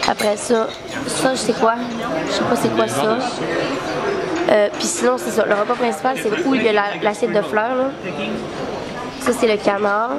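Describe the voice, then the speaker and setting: A woman's voice: fairly high pitch, steady tone, normal speed, pauses often, over a noisy crowd. A young woman with a Québécois accent, congested and tired, chatting casually while vlogging and using lots of fillers. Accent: Québécois French. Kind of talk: casual travel vlog.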